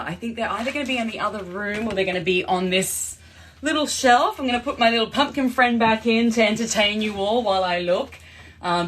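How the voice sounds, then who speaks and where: A woman's voice: medium pitch, a bit bright, slight background noise. A young Australian woman chatting casually on a live stream from her home, greeting viewers as she changes costumes. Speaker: livestream host. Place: home room.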